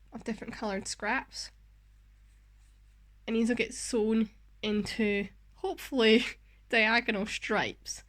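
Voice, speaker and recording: A woman's voice: on the high side, fairly bright tone. A Scottish woman hosting a sewing vlog, chatting and narrating casually. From Scotland.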